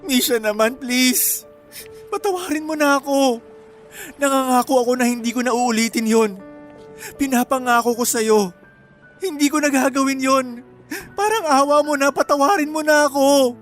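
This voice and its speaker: High, whining, pleading young boyfriend. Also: male voice, normal speed, pauses often. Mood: desperate pleading. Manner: whining, stammering excuses. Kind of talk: radio drama acting. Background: faint background music.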